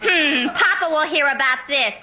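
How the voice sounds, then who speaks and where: An actress playing a brash, gullible, vain would-be singer, earnestly oblivious, in old-time radio comedy style. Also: female voice, high-pitched, normal speed, lightly acted. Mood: indignant. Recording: noisy old radio recording.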